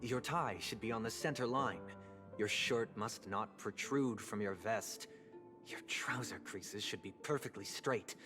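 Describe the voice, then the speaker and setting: An actor playing a composed, menacing, high-ranking gentleman in a video game, speaking in a measured, formal, condescending way. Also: lightly acted. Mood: quietly menacing. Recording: game dialogue with music underneath.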